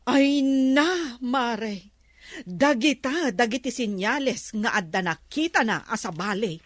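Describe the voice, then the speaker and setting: A woman's voice: fairly high pitch, lively intonation, slow pace, no room sound. A woman with an Ilocano accent, playing a gossipy friend who gives advice in a comedy drama. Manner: gossipy, knowing.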